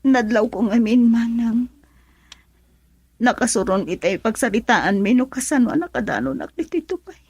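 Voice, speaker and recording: An actress with a Filipino accent playing an anxious, tearful young wife working abroad in a radio drama. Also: female voice, fairly high pitch, lively intonation, normal speed, darkish tone, slightly rough, dry recording. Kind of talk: Ilocano radio drama acting.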